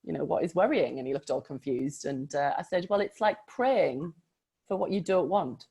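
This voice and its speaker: An animated woman coach shares her views in a casual conversation. Her voice sits a little low for a woman, and she leans hard on her key points. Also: moderate pace, creaky, breathy edge. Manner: animated, emphatic. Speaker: coach and co-host.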